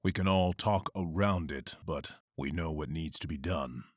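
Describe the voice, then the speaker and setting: A man playing a grim, brooding vigilante who narrates and speaks tersely and gravely. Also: rumbling low, moderate pace, no echo, studio quality. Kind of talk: inner narration and dialogue.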